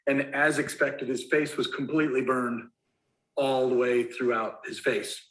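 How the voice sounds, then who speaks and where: A man in his forties from Colorado tells a personal story in a recorded motivational talk. His voice sits at an ordinary pitch and carries a roomy echo. He sometimes acts out the scenes he describes. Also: fairly even intonation, measured pace, a bit bright. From Colorado, USA. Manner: storytelling, acts out the phone call. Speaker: guest speaker.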